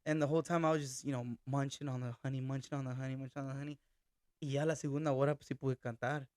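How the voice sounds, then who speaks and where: A young man, a band's singer, chatting casually on a podcast, telling relaxed stories and mixing Spanish phrases and swearing into his talk. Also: medium pitch, racing, dry recording, studio quality. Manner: laid-back storytelling, mixes Spanish phrases and swearing. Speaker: band vocalist and accordion player.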